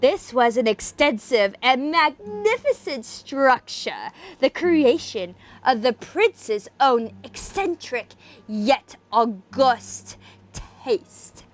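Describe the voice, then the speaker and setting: A woman's voice: high-pitched, very animated, slow. A woman at home who chats casually and gives theatrical, dramatic readings of spooky stories as an amateur host. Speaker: host and amateur story reader. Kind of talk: casual chat, then dramatic reading of a gothic horror story. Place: home workspace.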